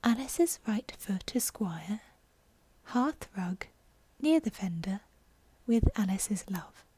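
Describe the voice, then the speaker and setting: A woman's voice: medium pitch, expressive, slow, dark tone, husky, no room sound. A woman voicing a curious, dreamy young girl from a storybook, talking to herself in exclamations and musings. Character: curious, dreamy, self-scolding young girl, prone to chatter.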